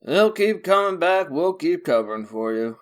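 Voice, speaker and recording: A man's voice: fairly high pitch, sing-song, moderate pace. A man playing a chatty, streetwise street bum who rambles in folksy slang in a comic dub. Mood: warm, animated.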